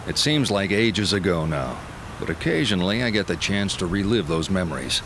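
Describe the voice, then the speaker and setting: An authoritative team boss in a racing game. The voice is low, calm and businesslike, delivered in measured phrases. Loud car noise fills the background. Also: male voice. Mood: wistful, inviting. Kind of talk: video game cutscene acting. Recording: loud vehicle and street noise.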